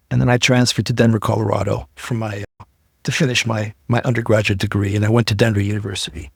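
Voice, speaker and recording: A man's voice: lowish voice, no echo, studio quality. A middle-aged man from Iran, a podcast guest telling his story earnestly and often repeating words as he builds up. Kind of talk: casual interview talk.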